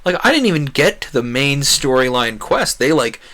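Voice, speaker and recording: A man's voice: mid-range voice, very animated, moderate pace, pushed and bright. A man chatting casually as he streams a game, going off on rambling, enthusiastic tangents. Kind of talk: casual chat while playing a game.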